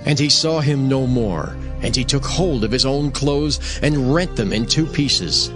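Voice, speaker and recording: A man's voice: mid-range voice, a little slow. A man giving solemn, dramatic scripted narration of scripture as a storyteller. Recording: music behind the voice. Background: prominent background music.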